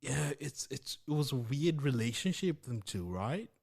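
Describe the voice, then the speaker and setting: A man's voice: medium pitch, vocal fry, slightly rough. A man with a Kenyan accent co-hosting a podcast, laughing often in easy chat. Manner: slow, laughs often, lively intonation. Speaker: podcast co-host. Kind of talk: casual chat.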